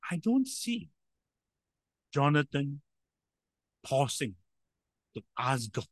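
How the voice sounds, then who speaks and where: Loud, emphatic male preacher. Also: fairly high pitch, sing-song, slow, dry recording. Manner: emphatic, with sudden swings in loudness and rhetorical questions. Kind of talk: sermon.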